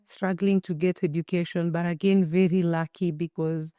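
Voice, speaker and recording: A Kenyan woman in her thirties, telling her life story as an interview guest in an earnest, expressive way with many restarts. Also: lowish voice, moderate pace, no room sound. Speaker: guest, shelter founder.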